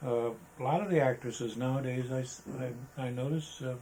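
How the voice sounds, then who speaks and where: An older American man recalls his working past in a casual interview. His delivery is halting and meandering, with mid-range pitch. The recording carries some background noise and room ambience. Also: fairly even intonation, warm tone, poor audio. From Arizona, United States. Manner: hesitant, halting, rambling. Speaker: interviewee, veteran photographer. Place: indoors, photographer's studio.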